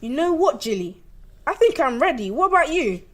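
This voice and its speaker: A man's voice: very high-pitched, very animated, normal speed, pitched above the performer's own voice. A young male performer playing an upbeat, unlucky man in an amateur radio play. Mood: eager. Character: Bob, upbeat unlucky man turned comedian.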